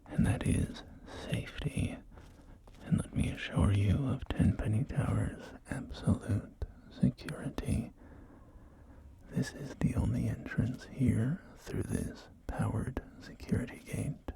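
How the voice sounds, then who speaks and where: Man playing post-apocalyptic estate agent for ASMR roleplay: whispered, very gravelly, formal and gently persuasive. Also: on the low side, heavily acted. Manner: formal, unhurried, softly persuasive sales patter. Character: polite male wasteland real estate agent, salesman.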